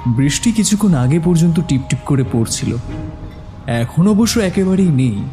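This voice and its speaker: Guy telling a spooky story, soft mellow tone, mid pitch, light music behind. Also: expressive, moderate pace. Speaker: horror story narrator. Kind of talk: scripted suspenseful storytelling. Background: background music.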